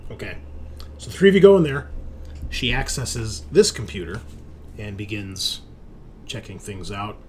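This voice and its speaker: A man with a fairly low voice narrates a tabletop game as its game master. He builds descriptions piece by piece, haltingly, with clear noise and ambience behind him. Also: sing-song, a little mellow.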